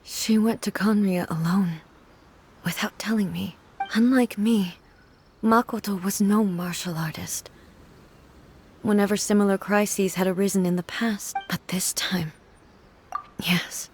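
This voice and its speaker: An actress playing a regal immortal shogun woman in a video game, speaking formally in a measured, composed and quietly grieving way. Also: mid-range voice, a little hiss or hum, close to an everyday voice. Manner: formal, measured, archaic phrasing. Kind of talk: video game story voice acting. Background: background music.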